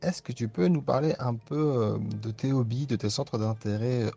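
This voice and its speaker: Male host, casual and friendly, dark husky voice, lo-fi audio with faint music. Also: medium pitch, moderate pace. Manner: friendly, thanks and prompts the guest. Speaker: interviewer, host. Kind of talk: casual interview chat. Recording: dull lo-fi sound with faint music.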